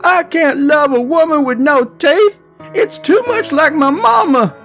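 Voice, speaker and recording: A man voicing an excitable, nervous young country fellow, yelling and overwrought, in a comic story. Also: extremely high pitch, unhurried, soft background music, pitched above the performer's own voice, full character voice. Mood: distraught.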